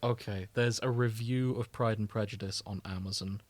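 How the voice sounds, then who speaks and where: A man's voice: fairly low pitch, steady tone, moderate pace, darkish tone, no room sound. A British man hosting a casual podcast, chatty and a little self-deprecating. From Britain. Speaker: podcast host.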